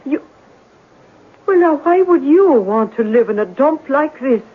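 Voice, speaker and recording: A woman's voice: high-pitched, lively intonation, measured pace. An actress playing an uneasy, evasive working-class landlady who stammers and talks in a folksy, ungrammatical way, in a 1970s radio drama. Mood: weary, defensive. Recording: muffled narrow-band old radio broadcast.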